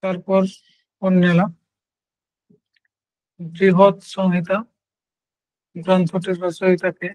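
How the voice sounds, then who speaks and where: A man teaching a question-and-answer exam lesson, repeating questions and answers in short, halting phrases. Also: high voice, monotone, darkish tone, no room sound, rough recording.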